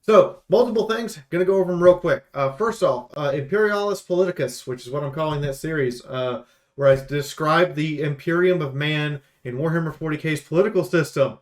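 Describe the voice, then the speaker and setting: A male YouTube host with a somewhat high-pitched voice talks through a game preview. He is casual and opinionated, stressing his points emphatically as he rambles and swears. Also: fairly quick.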